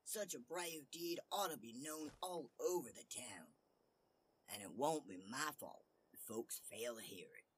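A man's voice: medium pitch, lively intonation, unhurried, husky. A man voicing a small, boastful tailor hero in a storybook tale, cheeky and exclamatory. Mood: proud. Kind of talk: storybook character voice.

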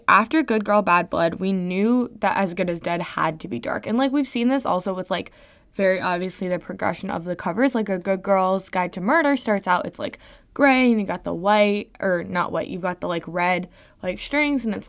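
A young woman hosting a podcast, talking casually about a book with lots of "like" fillers, her voice a little gravelly and worn from screaming. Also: medium pitch. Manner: chatty, lots of "like" fillers, expressive. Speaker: podcast host reviewing a book. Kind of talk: casual solo podcast talk. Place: home room. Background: faint fridge hum.

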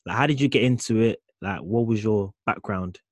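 A man's voice: on the low side, normal speed, no echo. A man hosting a casual sports podcast, asking loose, filler-heavy questions. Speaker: podcast host.

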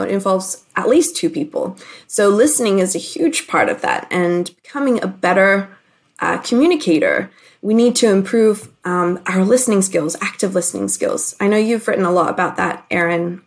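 A woman born in Hong Kong, a communication coach, talking casually as a guest and explaining her ideas with thoughtful pauses. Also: medium pitch, lively intonation. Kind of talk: casual interview.

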